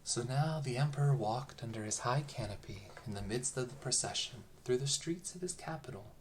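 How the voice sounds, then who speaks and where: Calm soothing storyteller reading a fairy tale, voice goes up and down a lot, bit of noise behind. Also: medium pitch, a little fast. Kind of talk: bedtime fairy-tale narration.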